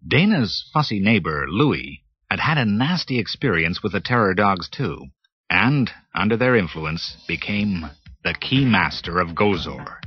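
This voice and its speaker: A man's voice: lowish voice, sing-song, measured pace, breathy edge, no room sound, lo-fi sound. A man narrating a children's read-along story dramatically, from a scripted text, on a 1980s cassette. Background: music.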